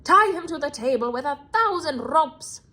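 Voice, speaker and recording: Screeching, high-pitched evil witch queen. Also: lively intonation, bright, forceful tone. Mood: gloating. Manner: screeching, imperious, drawn-out menacing delivery. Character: shrieking, gloating, tyrannical evil witch queen. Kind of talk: theatrical children's storybook read-aloud.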